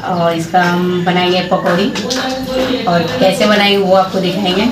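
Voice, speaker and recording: A middle-aged woman cooking at home and calmly explaining each step to the camera. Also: on the low side, normal speed, a crowd in the background. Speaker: home cook. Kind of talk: casual explaining while cooking. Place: small home kitchen indoors. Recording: noisy home phone recording.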